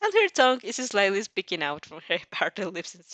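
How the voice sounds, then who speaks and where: A woman reads a story aloud with a very lively, swinging intonation. She is giggly and stumbles here and there as she reacts to the text, in a dry, close sound. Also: medium pitch, normal speed, no room sound. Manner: giggly, stumbles over words. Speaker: fan fiction reader reacting as she reads. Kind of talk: expressive story reading with amused reactions.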